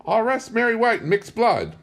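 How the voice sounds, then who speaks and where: A man voicing a frail, friendly, senile old woman in broken, halting English for a storybook reading. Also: sits high, very animated, pitched above the performer's own voice. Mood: earnest, troubled.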